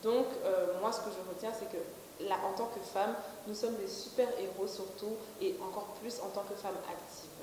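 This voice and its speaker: A woman's voice: medium pitch, fairly even intonation, normal speed, a little mellow. A woman in her twenties, a company director, answering interview questions with many fillers and restarts. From Belgium. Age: 20s. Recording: echoey room with faint hiss.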